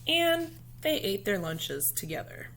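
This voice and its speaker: A woman reading a children's picture book aloud expressively, in measured phrases with pauses, as a storyteller speaking to young listeners. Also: mid-range voice, sing-song, vocal fry, fairly bright tone, a little hiss or hum.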